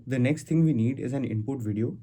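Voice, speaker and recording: A man hosting a casual software tutorial, explaining things step by step as he goes. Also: medium pitch, brisk, a little hiss or hum. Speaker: tutorial host. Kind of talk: casual screen-recorded software walkthrough.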